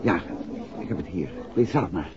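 A dull, noisy old radio recording carries a composed gentleman detective. He is courteous and astute, a man with a lively, moving pitch who chooses his words politely in true sixties radio-play style. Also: normal speed. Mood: calm, cautioning. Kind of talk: 1960s Dutch radio drama acting.

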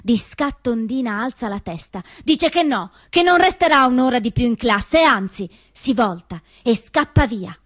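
A woman narrating a scripted story as a solo theatre performer, expressive and deliberate in her telling. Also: fairly high pitch. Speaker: solo stage actress and storyteller.

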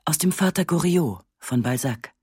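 Woman, literary narration, first person, slightly breathy huskiness, sober delivery, dry studio sound. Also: sits low, expressive, moderate pace, warm tone, dry recording.

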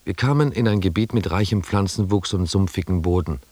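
An actor playing a sober expedition member who narrates the journey in a German radio drama, measured and descriptive. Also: male voice, low voice, a little mellow, breathy edge, no room sound.

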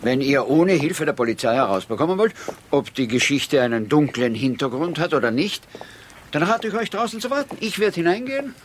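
An actor playing a breezy, eccentric, self-assured gentleman sleuth in a radio drama, with casual stammered repeats. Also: fairly high pitch, lively intonation, brisk, slight background noise, lightly acted. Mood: assured, philosophical.

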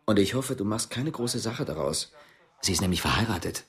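Police investigator, radio drama: low, dry, terse, guarded. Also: male voice, expressive, fairly quick, fairly bright tone, slightly rough, full character voice.

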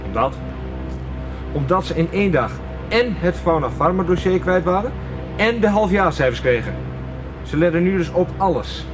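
Higher-voiced anxious male accomplice. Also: expressive, normal speed, pauses often, over music. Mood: urgent, worried. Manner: urgent, questioning. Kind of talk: radio soap acting.

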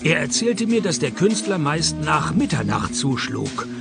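An actor playing a dry, sardonic private detective in a radio play, deadpan and wry as he narrates and talks. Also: a little high, measured pace, gravelly, over loud music, full character voice. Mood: dry narration.

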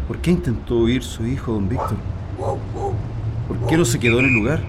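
An actor playing a polite, sympathetic reporter who speaks with courteous hesitation in a radio drama. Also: male voice, medium pitch, normal speed, noisy background, natural voice. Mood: sympathetic, sombre. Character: polite, sympathetic male reporter interviewing a family. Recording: music bed under the voice.

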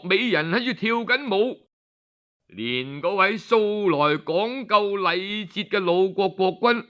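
A man with a Cantonese accent telling a historical tale in an expressive, colloquial oral storytelling style. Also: high voice, muffled recording. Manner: expressive, colloquial, acts out dialogue. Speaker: storyteller narrator.

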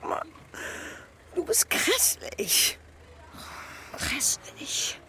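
A woman's voice: very slow, bright, forceful tone, slight background noise. A woman acting a scornful, capricious Parisian prostitute of 1920 in a German radio play. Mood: mocking, agitated. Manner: mocking, capricious.

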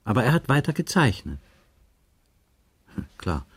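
A man's voice: medium pitch, very animated, measured pace, breathy edge, exaggerated voice. A man playing a patient, dry investigator in a radio crime drama, asking terse, probing questions and musing as he goes. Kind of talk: 1980s German radio drama dialogue.

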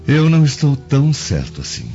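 An actor playing a scheming Roman guard in a radio drama, insinuating and mock-courteous. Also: male voice, medium pitch, huge pitch swings, normal speed, dark tone. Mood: mocking, provoking. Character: scheming male Roman guard, sly servant of the governor. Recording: dull lo-fi audio with music behind.

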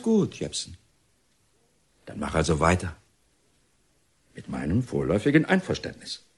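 An actor playing an excitable, pompous and paternal male reformatory director in a German radio drama, halting and declamatory. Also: low voice, slightly rough, heavily acted. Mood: conciliatory, official.

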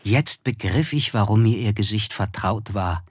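An actor playing a reserved, observant young man who narrates his memories in a measured, literary radio play style. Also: on the low side, breathy edge, dry recording. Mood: reflective. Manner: measured, reflective, hesitant in dialogue. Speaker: narrator and character. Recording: studio radio play with music. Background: music.

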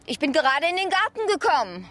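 An actress in a German radio drama playing a polite, probing local radio reporter. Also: female voice, sits high, sing-song, quick pace, bright, forceful tone, slight background noise, exaggerated voice. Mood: tentative. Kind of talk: radio drama acting, interview delivery.

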